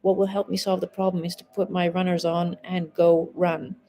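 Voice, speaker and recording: A woman in her forties speaks in a low, mellow voice, talking straight to her live viewers from home. She is a mindset coach, blunt and conversational, and swears casually as she goes. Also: little pitch movement, normal speed. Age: 40s. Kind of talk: casual live-stream monologue.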